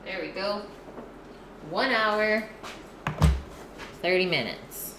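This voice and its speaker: A woman's voice: medium pitch, drawn-out words. A woman hosting a casual cooking livestream from her home kitchen, chatting with viewers and answering their messages as she works. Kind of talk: casual cooking stream chat. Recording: echoey kitchen with background noise.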